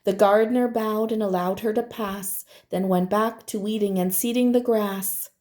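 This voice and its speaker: A woman teacher reading a picture book aloud to children in a deliberate, expressive storytelling manner. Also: medium pitch.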